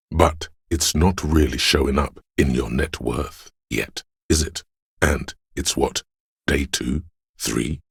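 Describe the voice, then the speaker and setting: A man playing a slick, money-obsessed inner voice in a video game, a cajoling, flamboyant hype man with streetwise swagger and a gravelly delivery. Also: no room sound, studio quality, full character voice. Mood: scolding. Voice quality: gravelly, very deep. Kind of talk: theatrical video game character monologue.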